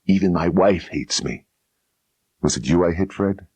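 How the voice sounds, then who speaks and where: A man's voice: sits low, measured pace, a little mellow, husky, no room sound, voice pushed up. Man acting a bitter, worn-out cop, voice pushed up. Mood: weary, sour. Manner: ranting, aggrieved, slangy. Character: bitter, exhausted, hard-boiled male police detective. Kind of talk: dramatised audiobook crime dialogue.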